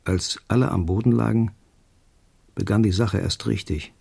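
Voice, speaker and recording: A man's voice: fairly low pitch, steady tone, moderate pace, vocal fry, darkish tone, husky. A man giving a literary reading of short prose as part of a radio feature.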